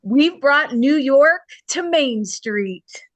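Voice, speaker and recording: Woman acting an excited, dry-recorded fashion worker. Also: high-pitched, drawn-out words, dry recording, exaggerated voice. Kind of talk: table read of a screenplay.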